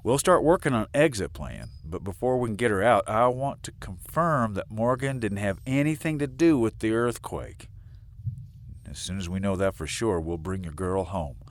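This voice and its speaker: An actor playing a plain-spoken, hot-headed but fair sheriff in an audio drama, blunt and informal. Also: male voice, fairly low pitch, lively intonation, moderate pace, natural voice. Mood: conciliatory.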